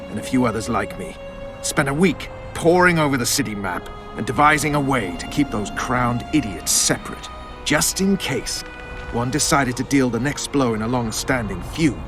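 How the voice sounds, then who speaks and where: An actor voicing a world-weary, proud military commander in a video game, brooding, dry and measured. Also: male voice, medium pitch, lightly acted. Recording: music behind the voice.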